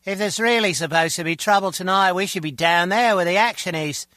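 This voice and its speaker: Sardonic henchman, high bright voice, grumbling, swinging intonation, video game guard. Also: a little fast, no room sound, clean studio sound. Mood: irritated, sardonic. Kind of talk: video game dialogue acting.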